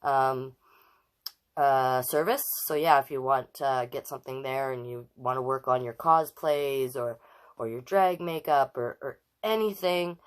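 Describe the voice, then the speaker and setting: A woman hosting a children's storytime, chatting casually with viewers and reading aloud in an exclaiming, direct way. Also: a bass voice. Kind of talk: casual livestream chat and read-aloud to children.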